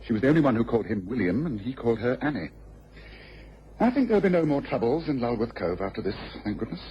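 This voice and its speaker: A male actor plays an incisive gentleman detective who is used to command. He talks quickly and crisply, with lively pitch and assured authority. It is radio drama acting on a dull, lo-fi recording. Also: lightly acted. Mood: satisfied. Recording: dull, lo-fi old radio recording with faint hiss.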